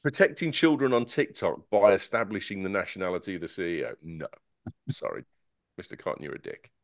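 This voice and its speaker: A man on a casual tech podcast panel, talking in an animated, sarcastic way with many stumbling restarts. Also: low voice, a little fast, no echo, studio quality.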